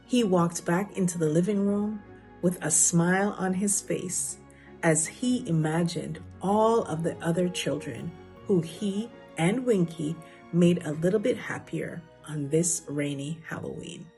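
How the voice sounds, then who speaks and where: A woman narrating a children's storybook in a measured read-aloud manner. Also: on the low side, dark tone, faint music behind.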